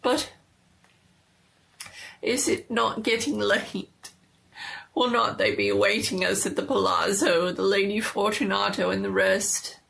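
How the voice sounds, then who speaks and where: A woman's voice: medium pitch, fairly even intonation, a little slow, creaky, long pauses, fairly bright tone, voice pushed up. A woman voicing a desperate nobleman walled up alive in a gothic horror story, putting on forced jollity and pleading. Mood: forced hilarity. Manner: forced jollity turning to pleading, broken by laughter.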